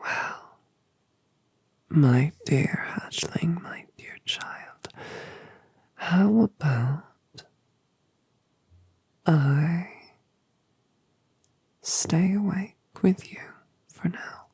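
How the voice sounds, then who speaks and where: A woman voicing a colossal, gentle, motherly dragoness in a whispered audio drama, soothing and affectionate with genteel phrasing. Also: on the low side, lively intonation, extremely slow, creaky, pauses often, slightly rough, dry recording, heavily acted. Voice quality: soft whisper.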